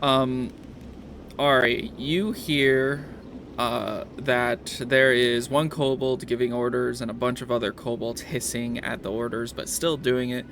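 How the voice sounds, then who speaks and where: Gravelly man running a tabletop game. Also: medium pitch, flat delivery, a little slow, fairly bright tone, a little hiss or hum. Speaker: game master. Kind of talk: casual tabletop game narration.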